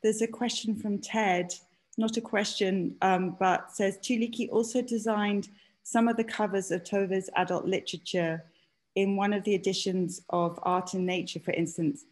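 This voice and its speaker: A woman's voice: mid-range voice, steady tone, normal speed, a little mellow. A woman hosting an informal panel on a video call, tentative and apologetic in how she steers it. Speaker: event host, panel moderator. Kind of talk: informal event moderation. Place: indoor room on a video call.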